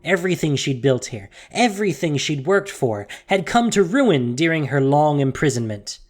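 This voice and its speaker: A narrator reading a dramatic audio story theatrically, with deliberate pauses and hushed emphasis, in a scripted style. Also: mid-range voice, very animated. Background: music.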